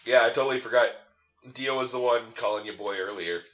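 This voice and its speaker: A man's voice: medium pitch, a little fast, a bit bright, slight background noise. A man co-hosting a game playthrough with casual, joking banter that often pokes fun at himself. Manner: joking, self-deprecating. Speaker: co-host of a video game playthrough. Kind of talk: casual banter over gameplay.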